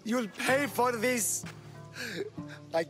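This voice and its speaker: A woman voicing a vengeful, grieving snake keeper in a video game, with a shrill creaky voice and drawn-out theatrical outcry. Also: over music. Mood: enraged. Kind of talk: dramatic video game acting.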